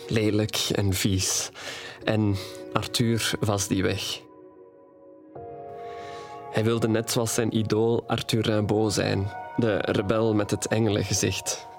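An actor playing a tender, grieving, wounded young man in an audio drama, speaking in a halting, confessional way. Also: fairly low pitch, flat delivery. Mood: pained, reminiscing. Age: young adult. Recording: music behind the voice.

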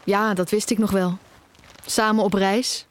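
A woman's voice: medium pitch, level tone, measured pace, close to an everyday voice. A young woman acting in an audio drama as a nearly blind student, wounded and stubborn, in intimate dialogue and narration. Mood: reflective. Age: young adult.

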